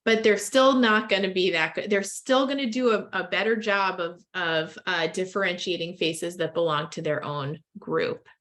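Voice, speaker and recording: A woman's voice: lowish voice, normal speed, hardly any pauses, fairly bright tone. Woman, lecturer, medical seminar, conversational, thinking aloud, repeats herself. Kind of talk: academic discussion with residents.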